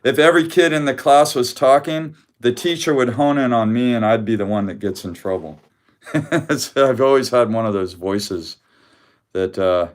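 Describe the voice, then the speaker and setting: Loud, rambling man on a casual live stream. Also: medium pitch, normal speed. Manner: loud voice that carries, laughs often, rambling with restarts. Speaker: card collector hosting a live stream. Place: home room with weak Wi-Fi.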